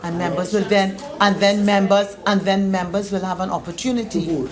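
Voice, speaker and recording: A woman's voice: medium pitch, steady tone, moderate pace, a crowd in the background. Firm woman chairing over chamber noise. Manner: firm, procedural. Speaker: speaker presiding over parliament. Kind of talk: formal chairing of debate.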